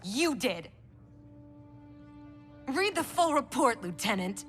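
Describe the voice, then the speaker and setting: A defiant young soldier, sharp and clipped when she answers back. Her high voice leaps wildly in pitch from line to line, with game music underneath. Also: big pitch swings, slow, full character voice. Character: defiant young female special forces soldier.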